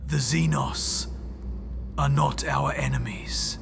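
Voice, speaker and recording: A man playing a dying but defiant, idealistic traitor captive in a sci-fi audio drama, speaking in a halting, strained rasp. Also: medium pitch, fairly even intonation, music in the background, pitched above the performer's own voice. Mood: fervent hope. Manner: halting, weak but fervent.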